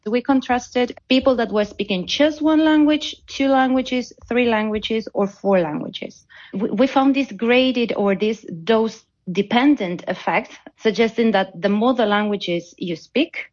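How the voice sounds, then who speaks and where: A woman researcher, not a native English speaker, explaining her work in an interview and often repeating words as she goes. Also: medium pitch. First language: non-native English speaker. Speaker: academic researcher being interviewed. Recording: dull, band-limited audio.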